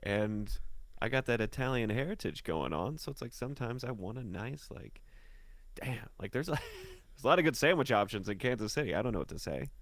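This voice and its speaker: A man's voice: medium pitch, sing-song, brisk, fairly bright tone, no echo. An American man chatting casually as a guest, rambling and joking as he tells stories. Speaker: musician and guest on the show. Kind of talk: casual chat, storytelling.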